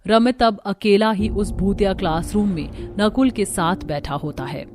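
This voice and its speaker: A woman narrator reading a scripted animated horror story. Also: mid-range voice, a little slow, music in the background.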